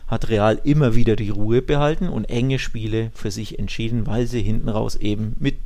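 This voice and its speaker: A man's voice: lowish voice, steady tone, normal speed, a little mellow. A man hosting a football podcast in casual discussion, talking emphatically and stacking phrases for effect.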